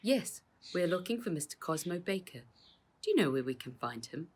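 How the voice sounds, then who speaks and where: A woman voicing a storybook police officer with an official, measured and unbending manner. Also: on the low side, sing-song, darkish tone, husky, heavily acted. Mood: stern, official. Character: formal, unbending police officers making an arrest.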